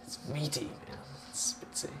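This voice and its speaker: A man's voice: moderate pace, reverberant, whispered. A man with a Norwegian accent talking casually in an interview, musing in a rambling, sweary way. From Norway.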